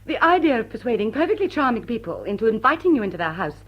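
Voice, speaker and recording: An actress playing a witty society woman with a secret criminal side in a period radio drama, arch, teasing and composed, with a husky voice. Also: fairly high pitch, big pitch swings, fast, muffled recording. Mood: firm reluctance.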